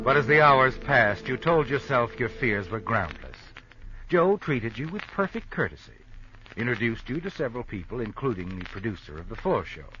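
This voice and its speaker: Animated, ominous old-time radio narrator over music. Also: male voice, mid-range voice. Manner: sardonic, dramatic second-person storytelling. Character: knowing, ominous male narrator addressing the heroine. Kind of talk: 1950s American radio suspense narration. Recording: muffled 1950s radio recording.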